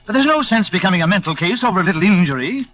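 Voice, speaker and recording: Grumpy impatient stepdad, 1940s radio drama, high voice that jumps around a lot. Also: male voice, animated, racing. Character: impatient, unsympathetic middle-aged stepfather, pragmatic and blustery. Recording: muffled vintage 1940s radio recording.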